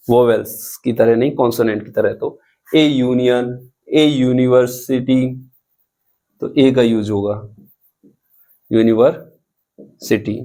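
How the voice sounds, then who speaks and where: Slow, mellow-voiced male teacher. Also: mid-range voice. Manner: slow, deliberate, repeats and spells out examples; asks the students questions. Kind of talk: explanatory grammar lesson.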